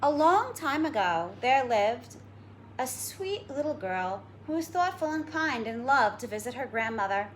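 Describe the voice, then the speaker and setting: A woman schoolteacher giving a read-aloud lesson for children, explaining simply and deliberately. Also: a little high, big pitch swings, slight background noise. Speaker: elementary school teacher.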